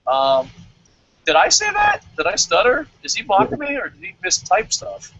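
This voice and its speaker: Host type: excitable man, casual talk, higher bright voice, muffled audio. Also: moderate pace. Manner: excitable, jumps into loud animated quotes, stammers at times. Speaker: wrestling review host. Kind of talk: casual podcast chat. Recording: dull lo-fi audio.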